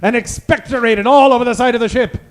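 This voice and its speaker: A man's voice: extremely high pitch, fairly even intonation, fast. A man narrating an old-time radio parody with theatrical announcer delivery.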